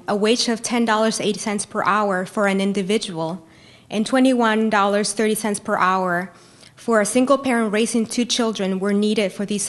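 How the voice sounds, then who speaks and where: Woman from Peru, debater at a lectern, earnest and emphatic, mid-pitched voice. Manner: earnest, emphatic, repeating key phrases. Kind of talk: prepared debate speech. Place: university lecture hall.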